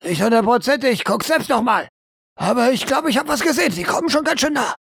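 A man's voice: very high-pitched, animated, brisk, creaky, fairly bright tone, breathy edge, no echo, clean studio sound, pitched above the performer's own voice, heavily acted. A man voicing a nervous, uncertain military spotter, hesitant and unsure, in a roleplay audio drama. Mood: anxious, unsure.

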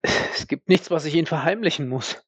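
A man's voice: on the high side, a bit bright, husky, dry recording. A man playing a proud, defiant accused war veteran in an audio drama, indignant and emphatic. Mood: defensive, tense. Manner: indignant, emphatic, halting when pressed. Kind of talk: dramatic audio play acting.

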